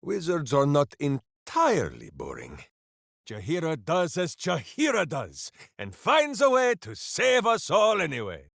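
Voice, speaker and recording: A big-hearted, simple video game warrior hero who devotedly dotes on his pet hamster. The delivery is bombastic and swings wildly in pitch, taken at an unhurried pace. The sound is close and dry. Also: huge pitch swings, gravelly, a bit bright, dry recording, heavily acted. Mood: earnest, boisterous. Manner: bombastic, speaks of himself in the third person. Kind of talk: video game character voice lines.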